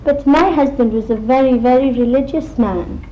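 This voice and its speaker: A woman's voice: high voice, moderate pace. Older Welsh woman on a dull archive tape. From Wales. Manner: plain storytelling with small laughs. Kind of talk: recorded personal reminiscence. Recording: dull old archive recording with faint hiss.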